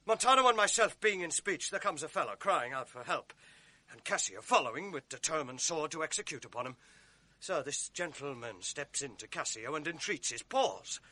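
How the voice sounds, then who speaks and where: A cunning, smooth-talking soldier in a radio drama, quick to feign honesty. His voice sits high and swings widely, with a bright and dry tone. He sounds urgent when raising the alarm. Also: very animated, no room sound, full character voice. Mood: feigning reluctance. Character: scheming cunning male ensign feigning honesty. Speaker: actor. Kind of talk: 1980s radio drama acting.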